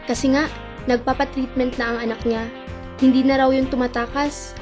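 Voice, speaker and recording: A woman's voice: high voice, little pitch movement, normal speed, natural voice. A woman playing a lovesick young hospital worker. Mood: reflective. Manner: soft, wistful, breaking into tearful pleading. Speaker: lead and narrator. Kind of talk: amateur radio drama. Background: music.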